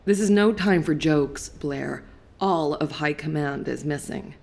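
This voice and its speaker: A woman's voice: deep voice, unhurried, creaky, darkish tone, heavily acted. A woman playing a no-nonsense recon squad soldier in a video game, blunt and serious. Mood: stern. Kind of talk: video game dramatic acting.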